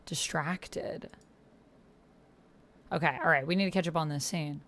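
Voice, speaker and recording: A woman's voice: low voice, fairly even intonation, a little slow, a bit bright. A woman hosting a roleplay livestream, chatting casually, muttering to herself and reading typed posts aloud. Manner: chatty, muttering to self while typing. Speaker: host of a live tabletop roleplay stream. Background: computer keyboard typing.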